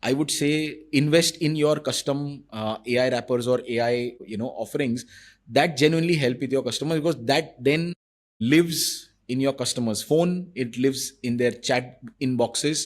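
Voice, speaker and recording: A man of middle pitch talks through his ideas as an interview guest, laying out points one by one. He explains patiently, often pausing on small fillers as he thinks. His tone is conversational and measured. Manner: thoughtful, explanatory, frequent fillers like "you know". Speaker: marketing executive guest.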